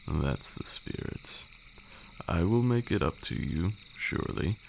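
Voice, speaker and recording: A man playing an ancient, haughty vampire lord in an ASMR roleplay, a condescending mentor with formal, archaic speech and a gravelly voice. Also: slow, heavily acted. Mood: soothing, condescending. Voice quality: very deep, gravelly. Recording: steady noise with night insects. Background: crickets and night insect ambience.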